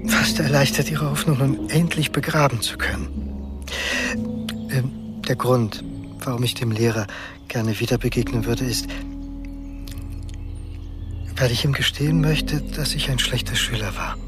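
An actor as a withdrawn, sensitive young man telling his story in a radio play. His mid-range voice is measured and reflective. Music plays beneath it. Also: medium pitch, natural voice. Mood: regretful. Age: young adult. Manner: reflective, measured, hushed at times. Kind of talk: literary radio drama narration.

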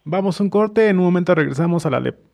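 A man's voice: sits high, animated, fast talker, gravelly, darkish tone. A Mexican man hosting a conversational radio interview. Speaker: radio host.

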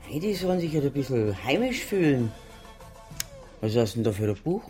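Lively, breezy pub keeper over music actor's performance. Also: mid-range voice, normal speed, close to an everyday voice. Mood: pragmatic. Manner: colloquial, breezy, insistent. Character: brisk, pragmatic Bavarian pub keeper, stubbornly enterprising. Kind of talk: naturalistic radio drama acting. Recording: music playing behind the voice. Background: background music in the scene.

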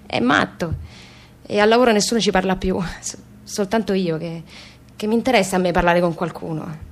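An actress playing a young working-class daughter with a southern Italian accent, talking candidly and haltingly in a theatrical radio monologue. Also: female voice, medium pitch, close to an everyday voice. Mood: bemused, chatty. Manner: colloquial, halting, repeating phrases.

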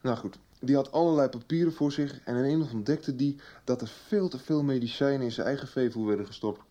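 Guy acting young cop son in a radio soap, kinda mellow and chatty, telling it to mom. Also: medium pitch, a little fast, highs cut off, lightly acted. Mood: eager storytelling. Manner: colloquial, chatty. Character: young policeman son recounting his case to his mother.

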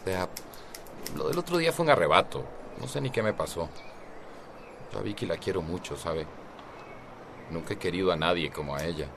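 An actor playing a cocky, self-justifying middle-aged husband in a radio soap, with a Costa Rican accent. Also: male voice, low voice, lively intonation, brisk, gravelly, long pauses, noisy background. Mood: pleading, self-justifying.

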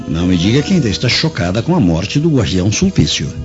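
A stern, suspicious Roman nobleman in a Brazilian radio drama. His voice is on the low side and swings widely in an imperious, theatrical way. Music plays clearly behind him on a dull recording. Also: very animated, fast talker. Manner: theatrical, imperious, weighty delivery. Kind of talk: radio drama acting in Portuguese.

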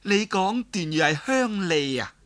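A man with a Cantonese accent voicing a vain, scheming older woman in a story, coy and easily flattered. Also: high-pitched, unhurried, voice pushed up. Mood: delighted, coy.

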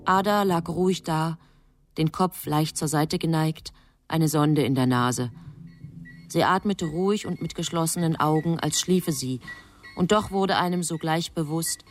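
A woman narrating a German radio drama in a sober, measured, literary manner. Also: low-pitched.

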